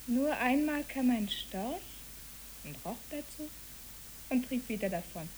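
A woman playing a solemn child wanderer who talks in riddles, hushed and matter-of-fact, in a poetic German radio drama. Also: a little high, expressive, measured pace, low-quality recording. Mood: dreamy. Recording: clear tape hiss.

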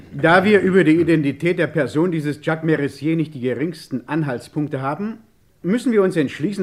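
A man's voice: mid-range voice, natural voice. A man playing a resolute, impatient French police inspector narrating his case in an old German radio crime drama, emphatic and insistent. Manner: emphatic, insistent, clipped orders. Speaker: detective narrator.

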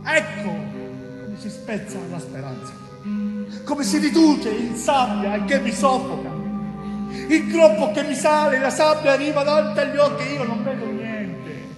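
A wrongly accused young father in anguish, in a stage monologue of short, urgent phrases. The male voice is high and breathless. It resounds with long reverb, and music plays behind it. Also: expressive, measured pace. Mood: anguished, desperate.

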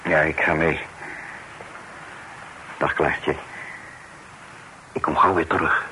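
Casting: wary, loyal drifter, low voice, easygoing confidence, noisy old radio play. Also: male voice, steady tone, takes it slowly, pushed and bright, full character voice. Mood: guarded, confident. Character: wary, loyal male wanderer with a hidden past. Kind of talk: old radio drama acting.

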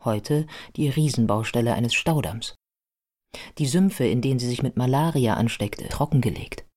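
Man acting as husky, reflective biographer narrator. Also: medium pitch, normal speed, darkish tone, lightly acted. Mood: sober, reflective. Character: reflective biographer recounting a life with sober sympathy. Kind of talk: scripted literary narration in a radio play. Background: music.

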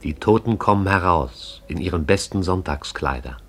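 A man narrating a radio play in a measured, poetic manner. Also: sits low, lively intonation, a little hiss or hum.

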